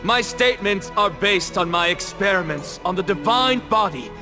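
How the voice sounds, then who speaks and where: Defiant scholar, high swooping voice, grandiose, taunting, theatrical game cutscene delivery. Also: male voice, animated, moderate pace. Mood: smug, grandiose. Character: theatrical defiant male scholar confessing blasphemy. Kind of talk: video game cutscene voice acting. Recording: background music behind the voice.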